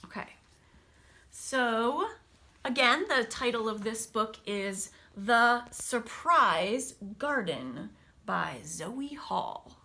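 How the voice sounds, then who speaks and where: Bright, very animated woman reading to children. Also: on the high side, extremely slow, creaky. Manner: animated storybook delivery, dropping to hushed asides. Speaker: teacher reading a picture book to children. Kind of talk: expressive read-aloud with casual explanatory asides.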